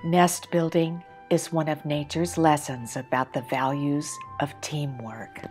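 Calm, measured female narrator with a low voice, over loud background music. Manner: calm, measured, reflective reading. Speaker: artist narrating her drawing film. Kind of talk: scripted documentary narration.

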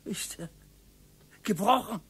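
An actor playing a grumpy, bored older retired husband who grumbles and pities himself, in a radio drama. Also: male voice, high voice, slow pace, a bit bright, slightly rough, exaggerated voice. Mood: self-pitying. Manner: grumbling, self-pitying, whiny.

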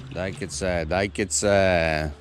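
A man talking to his viewers while fishing outdoors by a pond, breaking into excited exclamations, recorded on a head-mounted camera. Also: deep voice, slow pace, slight background noise. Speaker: fishing vlogger. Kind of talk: casual commentary while fishing.

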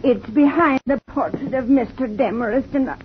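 Woman playing a distraught young woman, tearful, high voice, hissy dull old radio sound. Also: moderate pace, close to an everyday voice. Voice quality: tearful, pleading. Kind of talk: 1950s radio drama acting.

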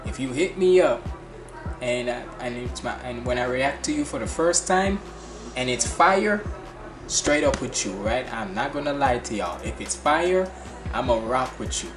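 A young man in his twenties with a Jamaican accent, chatting fast and loosely with lots of slang and restarts as he reacts to music. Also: medium pitch, animated. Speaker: reaction channel host. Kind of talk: casual music reaction commentary. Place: small bedroom indoors. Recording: echoey room with music playing.

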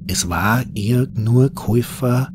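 A man with a Bavarian accent narrates a scripted horror story in dialect, in an unhurried, folksy manner. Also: mid-range voice, background noise.

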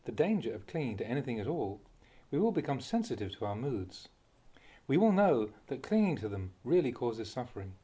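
A man reading a Buddhist Dhamma talk aloud as a monk, deliberately, in short phrases with pauses. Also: mid-range voice, mellow, slightly rough. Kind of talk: read-aloud Dhamma talk, measured teaching.

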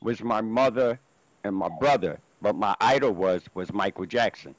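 A man's voice: fairly low pitch, moderate pace, no echo, low-quality recording. Rambling man on a video call. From Philadelphia, USA. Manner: rambling, repeats himself. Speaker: guest musician. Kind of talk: casual interview. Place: indoor room at home. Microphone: video call with earphone headset.

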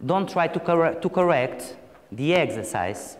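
A man horse trainer speaking calmly and deliberately about his goals. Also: fairly high pitch, in a large hall.

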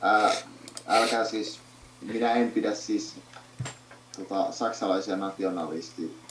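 A man's voice: fairly low pitch, fairly even intonation. A young Finnish man joining in with casual, joking chat as a friend off screen. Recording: echoey room with clear hum.

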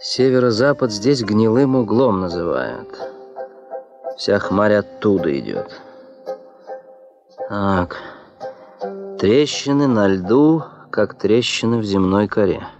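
A man's voice: on the low side, expressive, slow pace, long pauses. An actor playing an intense young male geologist who thinks aloud in short bursts, in 1970s radio drama style. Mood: musing. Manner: terse, musing in short bursts. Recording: 1970s radio play with orchestral music. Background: orchestral music.

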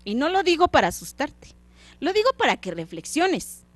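A woman's voice: high voice, very animated, moderate pace, no room sound, pitched above the performer's own voice. A woman voicing the ghost of a dead business partner, an earnest man who lectures in short, pleading phrases. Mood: pleading, warning.

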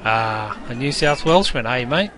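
A man's voice: mid-range voice, lively intonation, a little slow, sharp tone. A man playing a cheeky, matey barman in an interactive game, with a broad Australian accent and heavy slang. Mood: cheeky, welcoming. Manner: jokey, teasing, heavy slang. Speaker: bartender guide. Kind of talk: interactive game character patter. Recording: busy crowd noise behind. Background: crowd chatter.

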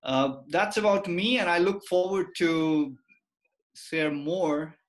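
Male guest expert, forties, Nepali accent, measured explanatory delivery over a video call. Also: fairly high pitch. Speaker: guest, tour company director and lecturer. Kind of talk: explanatory interview talk. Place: office room, video call.

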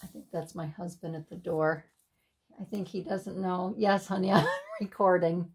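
A woman in her sixties chatting at home while she makes a collage at her craft table, with a breathy, sniffly voice, laughing asides and remarks to herself. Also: on the low side, steady tone, moderate pace. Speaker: craft channel host. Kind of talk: casual chat while making a collage. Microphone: overhead camera on the desk, hands only in frame.

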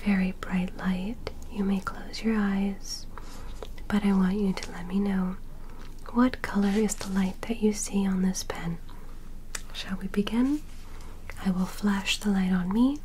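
A woman voicing a gentle, curious alien medical examiner in an ASMR roleplay, in a soft, breathy whisper with deliberate short instructions. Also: mid-range voice, fairly even intonation, a little hiss or hum, close to an everyday voice. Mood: soothing, clinical. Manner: slow, deliberate, short instructions with simple praise. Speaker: ASMR performer playing an examiner.

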